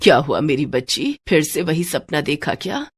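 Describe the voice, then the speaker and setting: A woman voicing a gentle, loving elderly grandmother in an audio story, tender and reassuring. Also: low voice, very animated, slightly rough, no room sound, heavily acted. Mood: tender concern. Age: older. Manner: slow, tender, soothing. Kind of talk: dramatic audio story acting.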